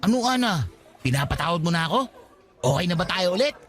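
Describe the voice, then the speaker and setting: A man's voice: high voice, sing-song, quick pace, a bit bright, faint music behind. A man acting an earnest, eager-to-please young Filipino suitor in a radio drama, pleading and coaxing.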